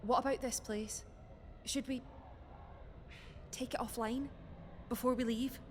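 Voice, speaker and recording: A high-pitched young woman rebel voiced by an actress speaks in short, urgent, questioning phrases. It is dystopian audio drama, with long reverb and a slight noise bed. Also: steady tone, a little slow, close to an everyday voice. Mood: anxious resolve.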